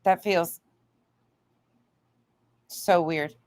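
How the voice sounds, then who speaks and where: Female livestream commentator, lower register, indignant and foul-mouthed, recorded close and dry. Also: steady tone, drawn-out words, darkish tone, dry recording. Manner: blunt, indignant, heavily swearing. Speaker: livestream host. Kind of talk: livestream commentary reacting to another creator, chatting with viewers.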